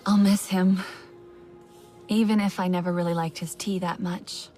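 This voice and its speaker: Gentle, earnest female alien fighter voiced by a woman. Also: on the low side, steady tone, moderate pace, soft background music, natural voice. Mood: sympathetic. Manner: gentle, sincere, supportive. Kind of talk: video game character acting.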